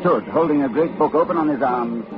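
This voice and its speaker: An actor playing a grave, urgent professor narrating horror in a formal, portentous 1940s radio style. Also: male voice, medium pitch, a little fast, over music, close to an everyday voice. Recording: muffled 1940s radio recording.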